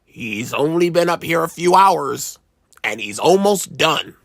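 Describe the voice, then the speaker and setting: A storyteller voicing a gruff old pirate captain's ghost with growling pirate dialect, nostalgically telling a children's storybook tale. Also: medium pitch, lively intonation, a little slow, no echo, studio quality. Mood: pleased, musing. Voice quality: gravelly, growling.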